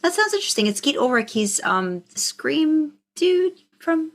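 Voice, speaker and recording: A woman hosting a casual chat, responding to a guest in a halting, loosely phrased way. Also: high voice, very animated. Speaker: podcast host.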